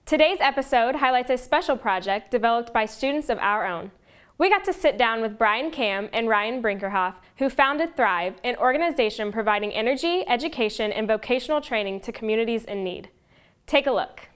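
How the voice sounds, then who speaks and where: A woman hosting a community video show, reading a scripted introduction in a bright presenter style. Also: fairly high pitch, animated, brisk. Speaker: show host.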